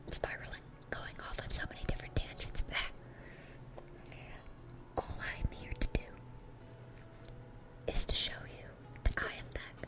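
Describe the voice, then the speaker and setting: A woman's voice: a little slow, pauses often, lightly acted. Whispering dorky demon girl, voiced by a woman. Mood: rambling, earnest. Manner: rambling, stammering, eager and awkward. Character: dorky, chatty, sweet demon girl with horns and fangs. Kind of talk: whispered ASMR roleplay.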